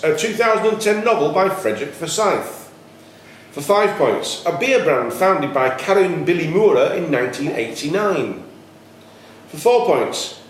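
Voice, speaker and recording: Male quizmaster, fairly high and animated, reading clues deliberately, faint background noise. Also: very echoey, poor audio. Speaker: quiz host, question setter. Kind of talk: reading quiz questions aloud. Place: echoey indoor room at a cricket club.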